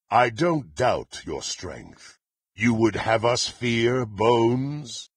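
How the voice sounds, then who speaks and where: An actor playing a menacing, proud and violent blackguard warrior in a fantasy video game, with a gravelly, raspy voice and haughty, threatening, formal delivery. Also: male voice, fairly low pitch, dry recording, clean studio sound, exaggerated voice. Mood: haughty, brooding. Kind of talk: theatrical fantasy game voice acting.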